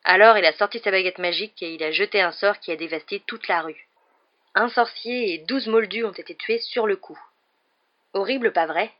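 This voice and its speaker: A woman's voice: mid-range voice, normal speed, lightly acted. A smug young male bus conductor from a storybook, as played by a woman narrator. He is chatty and dramatic, forever fishing for agreement with little tag questions. The sound is slightly muffled. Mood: dramatic, gossipy.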